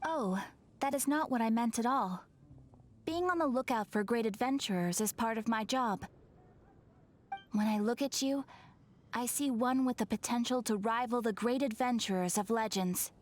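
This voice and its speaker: A woman's voice: on the high side, normal speed, slight background noise, lightly acted. A young woman playing a polite, welcoming, formal guild receptionist in a video game. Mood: courteous, encouraging. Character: courteous, cheerful female adventurers' guild receptionist. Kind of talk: scripted video game character acting. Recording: soft game music behind the voice.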